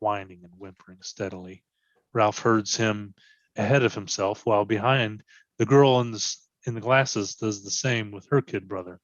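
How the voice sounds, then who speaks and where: A man narrating scripted stage directions for a readers theatre piece in a measured, descriptive way. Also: lowish voice, fairly bright tone, no echo, dull audio.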